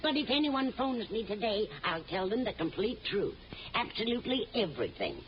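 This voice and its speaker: A woman's voice: medium pitch, very animated, fairly quick, natural voice. An actress playing a scatterbrained, kindly older woman, earnest and fussy, in an old-time radio comedy. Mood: sheepish. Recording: muffled old radio broadcast, faint noise.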